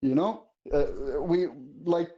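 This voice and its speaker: Halting man, mellow tone. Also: a little high, highs cut off. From Syria. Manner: halting, searching for words. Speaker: guest viewer. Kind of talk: casual political discussion.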